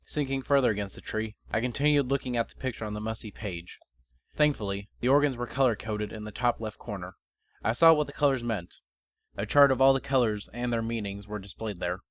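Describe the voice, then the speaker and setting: A man reading a first-person fantasy story as narrator, in a measured, bookish dramatic reading. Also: mid-range voice.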